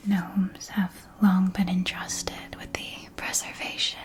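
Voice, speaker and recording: A woman playing a kindly potion-supply shopkeeper in a scripted fantasy ASMR roleplay, speaking in a soft, breathy whisper with deliberate, gently explanatory delivery. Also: mid-range voice, little pitch movement, natural voice. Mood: soothing, helpful. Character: kindly female shopkeeper of magical witch-school potion supplies.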